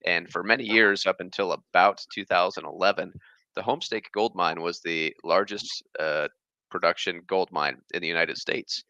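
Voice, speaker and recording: A man's voice: deep voice, fairly even intonation, moderate pace, bright, forceful tone, no echo, dull audio. A man in his thirties who was born in Germany and raised in the US, talking as an interview guest in a casual, rambling way with many fillers. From born in Germany, raised across the US. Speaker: guest, fish channel creator.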